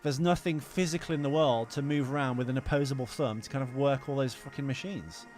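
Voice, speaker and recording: A man's voice: mid-range voice, brisk, darkish tone, soft background music. A British man with an ordinary mid-range voice talks casually as a podcast co-host. He rambles through his opinions on film, looping back over his points and swearing freely. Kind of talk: casual film review chat.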